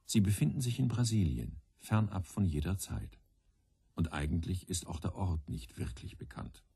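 A man playing a reflective storyteller in a radio play, with contemplative, deliberate phrasing. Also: deep voice, big pitch swings, gravelly, mellow, slightly rough, no echo, full character voice. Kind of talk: literary radio play narration.

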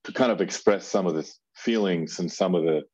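A man's voice: low-pitched, brisk, darkish tone, husky, no room sound, dull audio. A man from Colombia, a furniture designer talking casually as an interview guest, rambling with many fillers and restarts.

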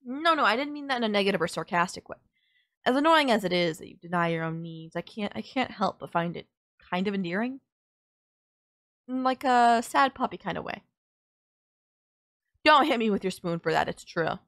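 A woman's voice: mid-range voice, expressive, moderate pace, long pauses, a bit bright. Woman acting as a sardonic but caring villainess. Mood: teasing, caring. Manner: blunt, teasing, exasperated yet nurturing. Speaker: voice actor. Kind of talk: audio roleplay drama, intimate one-sided dialogue.

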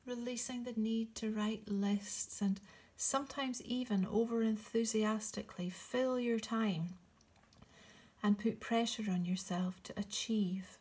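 A woman speaks as a meditation guide at a very slow pace. Her voice is soft and breathy, often slipping close to a whisper. The delivery is gentle and soothing, with long pauses between short, encouraging phrases. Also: on the high side, fairly even intonation.

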